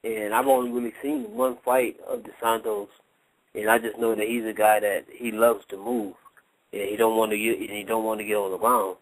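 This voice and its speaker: Boxing trainer type, man, low-ish voice, casual, plain-spoken. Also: flat delivery, moderate pace, low-quality recording. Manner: plain, casual, unpolished speech. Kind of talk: radio interview.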